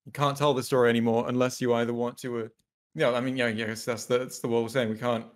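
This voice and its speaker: Mid-pitched man probing in a debate. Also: level tone, fast talker. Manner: probing, questioning. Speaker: discussion panelist. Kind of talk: casual debate.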